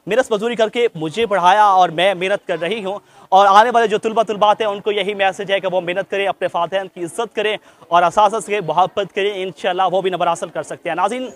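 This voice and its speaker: High-voiced male field reporter. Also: steady tone, fast talker, few pauses. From Pakistan. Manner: formal, polite. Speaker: host. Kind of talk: street interview reporting.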